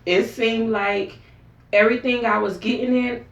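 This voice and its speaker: Gravelly-voiced woman in her forties, roomy sound. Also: fairly high pitch, moderate pace. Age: 40s. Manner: earnest, storytelling, animated when quoting herself. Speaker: talk show guest. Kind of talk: candid personal interview. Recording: roomy, distant mic, dull sound.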